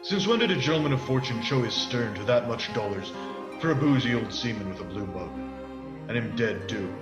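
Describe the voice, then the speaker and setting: An actor playing a wily, persuasive pirate leader in a radio play, talking in rustic old-sailor dialect. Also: male voice, medium pitch, lively intonation, brisk, lightly acted. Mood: scornful. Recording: muffled, echoey audio under loud music.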